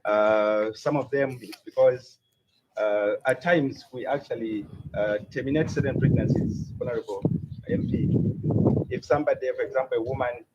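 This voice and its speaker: A man with a Zambian accent giving an impromptu, halting speech as a health official, recorded on location. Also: medium pitch, lo-fi sound. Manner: hesitant, halting delivery with many pauses. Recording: noisy on-location field recording.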